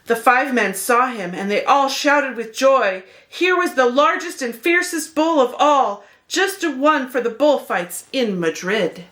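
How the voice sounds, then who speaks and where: A woman's voice: sits high, animated, unhurried, few pauses, a bit bright. A woman narrating a children's picture book expressively, as a read-aloud host speaking to young listeners.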